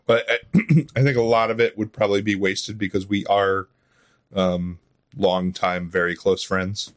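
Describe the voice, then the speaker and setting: A man with a slightly low-pitched voice talks as an interview guest. His delivery is casual and conversational, like someone explaining a creative hobby off the cuff. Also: steady tone, unhurried. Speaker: podcast guest, audio drama creator and voice actor. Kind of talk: casual unscripted interview chat.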